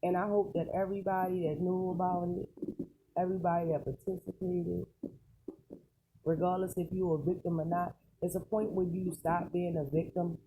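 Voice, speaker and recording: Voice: mid-range voice, dark tone. A commentator giving casual, rambling opinions in an emphatic, colloquial way, often throwing in rhetorical questions. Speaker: online celebrity news commentator. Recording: clearly noisy recording with clicks.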